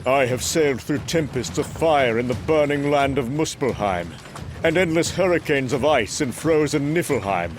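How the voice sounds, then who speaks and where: A man's voice: medium pitch, lively intonation, normal speed, noisy background, lightly acted. An actor playing a proud, brash young male Norse god of thunder, grandiose and commanding, in a comic book audio drama. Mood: confident.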